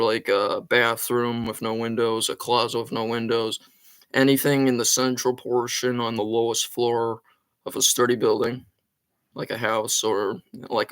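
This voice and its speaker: A man's voice: medium pitch, a bit bright, dry recording. A man hosting a live storm-tracking stream with a sore throat, giving urgent take-cover warnings between many ums and uhs. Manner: urgent warnings mixed with frequent fillers. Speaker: storm tracking livestream host. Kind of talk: live weather commentary.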